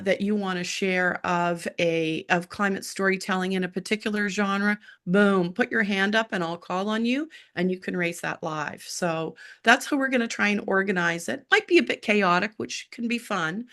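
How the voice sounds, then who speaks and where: A middle-aged woman with a hoarse voice, moderating an online roundtable on a video call in a brisk, upbeat way with frequent filler uhs. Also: mid-range voice, fairly bright tone.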